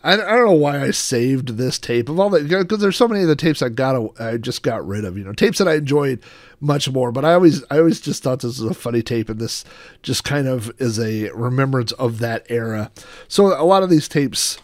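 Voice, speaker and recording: A man's voice: medium pitch, lively intonation, fairly quick, no echo. A middle-aged American man hosting a casual show-and-tell, reminiscing in a rambling, anecdotal way. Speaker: host of a personal collection show.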